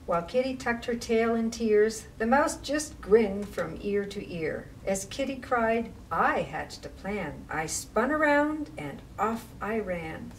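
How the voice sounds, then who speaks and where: A woman reads aloud to young children in an expressive storytime style. Her delivery is slow and deliberate. She is recorded at some distance from the microphone, with slight noise behind her. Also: on the high side. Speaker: library storytime reader. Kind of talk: read-aloud children's rhyming story with casual asides. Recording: distant mic with faint background noise.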